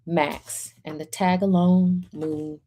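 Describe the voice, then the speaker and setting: A woman hosting a storytime as a reverend, speaking in measured short phrases as she welcomes, guides and reads aloud. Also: on the low side, big pitch swings, creaky, mellow. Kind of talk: gentle guided centering and picture book read-aloud.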